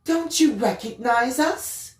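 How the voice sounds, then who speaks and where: A woman's voice: sits high, expressive, takes it slowly, reverberant, far from the mic, voice pushed up. Woman acting a worried, tender, emotional mother. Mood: tearful, worried. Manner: emotional, earnest. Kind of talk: dramatised audiobook reading.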